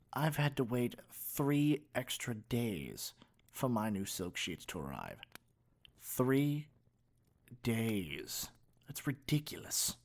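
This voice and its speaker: A man voicing a spoiled, haughty evil king in an ASMR roleplay, speaking in a theatrical, condescending drawl. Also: medium pitch. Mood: petulant indignation.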